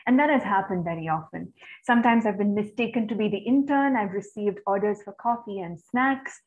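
A soft-voiced woman from India, answering a webinar question in an earnest, reflective, articulate way over a video call. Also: medium pitch, a little fast. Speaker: guest speaker. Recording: slightly dull video-call audio.

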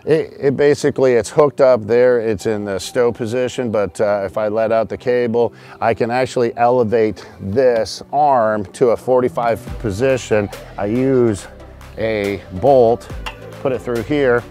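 Middle-aged man, mid-pitched voice, casual technical explainer, hosting a walkthrough outdoors, faint music behind. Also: fairly even intonation, unhurried, mellow. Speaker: host, charter fishing captain. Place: outdoors on a boat roof at a marina.

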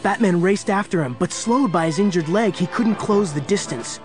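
A man acts as narrator of a superhero adventure. His voice sits a little high, and he tells the action dramatically over a clearly audible musical score. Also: moderate pace, over music, close to an everyday voice. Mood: dramatic.

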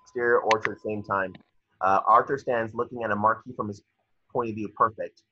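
A man with a husky voice reading screen directions as narrator at a table read over a video call. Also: on the low side, fairly even intonation, brisk, a little hiss or hum. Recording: muffled lo-fi video call audio.

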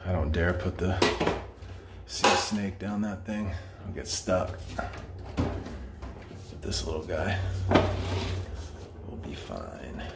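A man working as a plumber, talking in a dry, matter-of-fact way while he clears a clogged drain. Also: low voice, expressive, slow pace, long pauses. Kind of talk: casual on-the-job narration. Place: indoor apartment bathroom. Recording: roomy bathroom echo, noisy work sounds.

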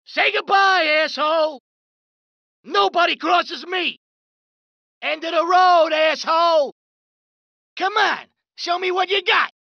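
A woman's voice: sits high, sharp tone, no room sound, heavily acted. A woman doing a foul-mouthed mafia thug. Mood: combat rage. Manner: brash, profane, swaggering tough-guy talk. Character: hot-tempered male mafia street thug. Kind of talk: reciting video game pedestrian lines. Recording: dull lo-fi dry recording.

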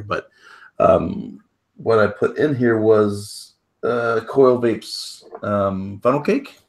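Very low-voiced man rambling on a call. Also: a bit bright. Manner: rambling, lots of fillers. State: hoarse, coughing. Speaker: podcast host. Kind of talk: casual chat on a video call. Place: home room with shelves. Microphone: video call.

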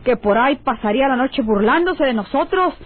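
An actress playing a bitter, nagging Mexican wife in an old radio drama, complaining with scorn. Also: female voice, high-pitched, lively intonation, a little fast. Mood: bitter, scornful. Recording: tinny, band-limited old radio recording.

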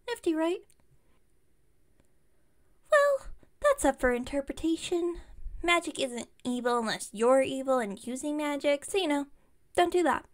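A woman playing an enthusiastic, slightly incompetent witch shopkeeper in an audio roleplay, rambling on in a friendly, bubbly way. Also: high voice. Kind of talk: ASMR roleplay audio, one-sided chat with listener.

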